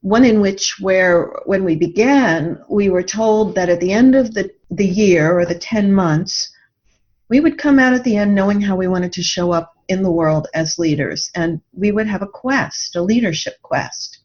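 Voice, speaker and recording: A woman's voice: mid-range voice, unhurried, darkish tone, lo-fi sound. A woman answering as a podcast guest in a reflective, explanatory, conversational way.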